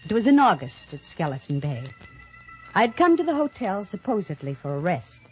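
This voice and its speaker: Actress as an intense, restless radio-mystery heroine. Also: female voice, medium pitch, sing-song, lightly acted. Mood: brooding, haunted. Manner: intense, dramatic, deliberate repetition. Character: restless, morbidly thrilled woman recounting a dark tale. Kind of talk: 1950s radio drama first-person narration. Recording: muffled old radio recording with music.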